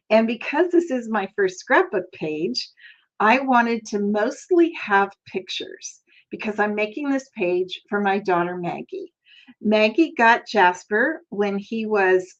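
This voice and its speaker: An older woman hosting a casual crafting tutorial, chatty and friendly, laughing often as she talks. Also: medium pitch. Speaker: crafting channel host. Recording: slightly dull lo-fi sound.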